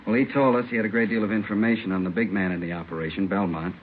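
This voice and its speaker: An actor playing a terse, businesslike American police detective in a 1950s radio drama, clipped and matter-of-fact. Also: male voice, low voice, steady tone, racing, slight background noise, lightly acted. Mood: businesslike, flat. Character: terse, businesslike male police detective narrating his case. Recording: tinny old radio broadcast, music behind.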